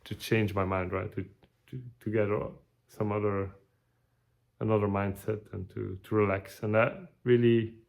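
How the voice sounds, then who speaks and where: Low gravelly man. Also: flat delivery, a little mellow. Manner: halting, many restarts and searching for words. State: tired, burned out. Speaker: vlogger. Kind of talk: casual video update.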